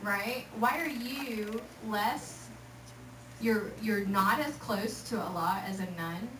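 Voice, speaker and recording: Lively woman from Texas addressing teens, distant mic in a noisy, echoey hall. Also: mid-range voice, slow. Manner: lively, joking, acts out voices. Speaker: youth speaker. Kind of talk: casual talk to a youth group.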